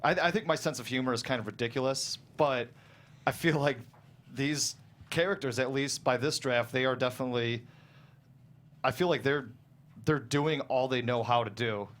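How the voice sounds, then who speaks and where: A man's voice: medium pitch, moderate pace, fairly bright tone. A man talking casually as a guest screenwriter about his own writing, in a hesitant, rambling way, often chuckling mid-sentence.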